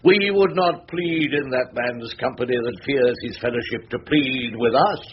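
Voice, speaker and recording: An actor playing a wry, rumpled older English barrister in a radio drama, with an orotund, ironic, rhetorical way of talking. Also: male voice, mid-range voice, moderate pace, close to an everyday voice. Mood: grandiose oratory. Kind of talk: BBC radio drama acting and first-person narration. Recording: dull, noisy old radio recording.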